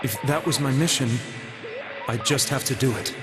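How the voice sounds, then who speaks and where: A male actor as a troubled, earnest man confessing in a video game drama. His delivery is solemn and halting, heavy with guilt. Prominent music plays behind him. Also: medium pitch, lightly acted. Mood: somber, reflective.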